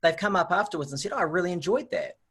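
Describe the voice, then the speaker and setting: A man's voice: high-pitched, rapid-fire, a bit bright, slightly rough. A man in his forties with a New Zealand accent, chatting in an easygoing, anecdotal way as an interview guest. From Auckland, New Zealand. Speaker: podcast guest, nutrition researcher. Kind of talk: casual chat, anecdotal.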